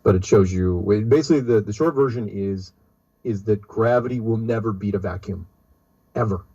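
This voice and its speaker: A man's voice: fairly low pitch, normal speed, dark tone, husky. A man hosting a talk show, reading a listener's email aloud and riffing on it in a rambling, sardonic way with many fillers. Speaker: radio show host. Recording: dull lo-fi recording.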